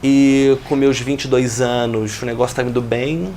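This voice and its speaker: A man in his forties, a guest retelling how he built his business, speaking in an even, reflective way. His voice has a gravelly, fry-tinged edge at a middle pitch. A faint noise sits under the recording. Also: moderate pace. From Brazil. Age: 40s. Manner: reflective, steady storytelling with business jargon. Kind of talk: interview, telling his life story.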